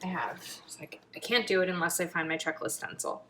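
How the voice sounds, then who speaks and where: A woman chatting casually while decorating her planner, thinking aloud and sometimes dropping to a murmur, her throat a little raspy from a long filming session. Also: on the low side, a little fast, bright, forceful tone, in a whisper. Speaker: planner vlogger. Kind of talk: casual planning video chat.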